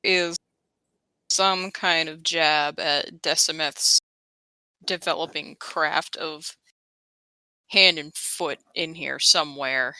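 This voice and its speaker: Low, bright-voiced woman playing a tabletop game. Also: no echo, low-quality recording. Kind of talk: casual chat while role-playing.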